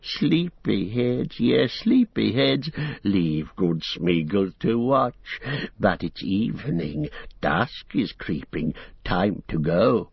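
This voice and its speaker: A man voicing a wretched, split-minded creature who fawns, whimpers and hisses in a high whine, speaking of himself in the third person in an audiobook. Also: sing-song, takes it slowly, gravelly, slightly rough, dry recording, exaggerated voice. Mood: wheedling. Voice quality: high whining hiss. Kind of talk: audiobook character voice. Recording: dull lo-fi recording.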